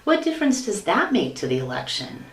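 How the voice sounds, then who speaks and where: A woman playing a young, gently naive wife in a radio sitcom, asking questions. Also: mid-range voice, wildly swooping pitch, brisk. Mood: curious, affectionate. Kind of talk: sitcom-style radio play dialogue. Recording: distant, roomy echo.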